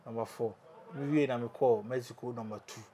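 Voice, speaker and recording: A man's voice: medium pitch, fairly even intonation, fairly quick, dark tone. A young man from Ghana with a Ghanaian accent, a guest in a studio chat, boastful and teasing. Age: young adult. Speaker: musician guest. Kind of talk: casual interview chat. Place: small recording studio indoors.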